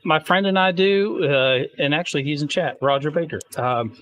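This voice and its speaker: A man chatting on a livestream, joking in a deadpan way. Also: on the high side, normal speed. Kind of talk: casual livestream chat.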